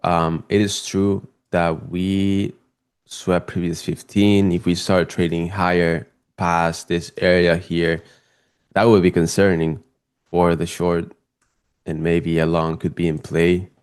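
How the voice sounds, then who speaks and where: A young man from Spain with a Spanish accent, chatting casually and excitably as he comments on live trades for his stream viewers. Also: sits low, slow pace, smooth.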